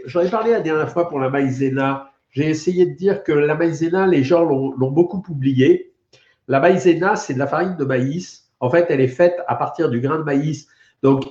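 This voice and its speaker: A man's voice: on the high side, darkish tone. A middle-aged man with a French accent who is a doctor, chatting on a live stream with a head cold, explaining things with many hesitations. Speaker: doctor hosting a weight-loss live stream. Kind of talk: casual live stream talk answering viewer questions. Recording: roomy, dull lo-fi stream audio.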